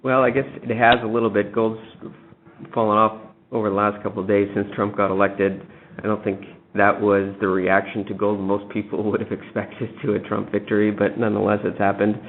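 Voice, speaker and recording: A man answering investor questions as a company executive, in a candid, measured manner. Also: on the low side, flat delivery. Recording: noisy, narrow phone-line audio.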